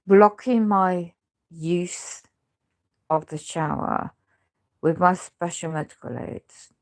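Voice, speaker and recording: A woman who is ill with a chest infection, with a crackly voice, short of breath and struggling to speak as she gives a rambling, aggrieved personal account. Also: gravelly. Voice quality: crackly, deep voice. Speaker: housing tenant speaking out. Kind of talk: rambling personal video testimony.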